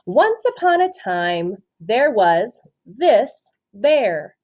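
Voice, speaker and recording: A woman doing an interactive picture-book read-aloud for children, expressive and often asking questions. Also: mid-range voice. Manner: expressive, asks questions and pauses for answers. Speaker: storytime reader, teacher.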